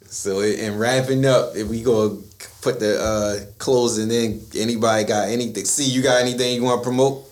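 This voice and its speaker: A man's voice: mid-range voice, fairly even intonation, moderate pace. A man, hosting a casual podcast in a laid-back, slang-heavy way. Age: 30s. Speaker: podcast host. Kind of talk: casual chat.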